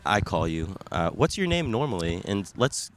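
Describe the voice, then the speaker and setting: Deep-voiced young man hosting a podcast. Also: moderate pace. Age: 20s. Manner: playful, teasing deadpan. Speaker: podcast host. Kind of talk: casual chat. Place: outdoors in a park.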